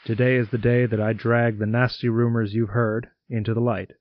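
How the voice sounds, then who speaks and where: Male actor as wartime US president: grave, formal, measured delivery, close dry but dull audio. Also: mid-range voice, little pitch movement, no echo, lightly acted. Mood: solemn. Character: solemn US president announcing war to the nation. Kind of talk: formal radio address acting.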